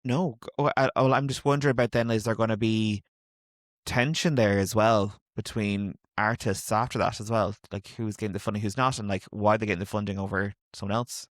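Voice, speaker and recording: A male podcast host with a slightly low voice asks his questions haltingly, circling back and rephrasing as he thinks. The recording is dry and close. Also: fairly even intonation, dry recording. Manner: searching, hesitant questions with many restarts. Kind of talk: conversational interview.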